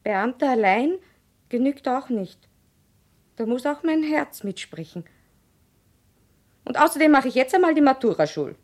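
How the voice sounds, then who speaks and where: A saucy young Viennese with a fairly high, very lively voice. She brushes people off pertly in a radio play. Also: moderate pace, pauses often. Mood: saucy, dismissive. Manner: saucy, dismissive. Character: pert young Viennese woman fending off suitors.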